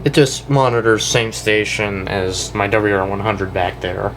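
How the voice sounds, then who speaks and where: A young American man giving a casual, off-the-cuff show-and-tell, talking in a halting, rambling way and often correcting himself. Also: fairly low pitch, fairly even intonation. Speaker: hobbyist video creator. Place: indoors in a house. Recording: noisy room with air-conditioner hum.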